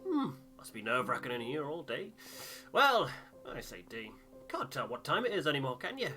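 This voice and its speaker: A man's voice: mid-range voice, sing-song, moderate pace, pushed and bright, faint music behind. Man playing a cheeky, chatty bored crewman. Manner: colloquial, rambling small talk. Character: chatty, bored working-class male expedition crewman, familiar and cheeky.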